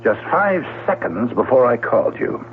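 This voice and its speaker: An actor playing a cold, domineering middle-aged newspaper boss, blunt and curt, in a 1970s radio drama. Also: male voice, medium pitch, very animated, moderate pace, natural voice. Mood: imperious, scornful. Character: gruff, imperious, cold male newspaper boss. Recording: tinny, noisy vintage radio broadcast with hum.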